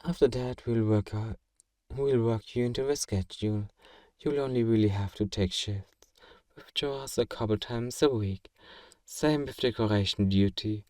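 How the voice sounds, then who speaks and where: A man playing a kind, possessive vampire master in an intimate ASMR roleplay, speaking in a gentle, genteel, coaxing and doting way. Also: mid-range voice, measured pace, no echo, close to an everyday voice. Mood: warm, reassuring. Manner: soothing, coaxing, politely doting.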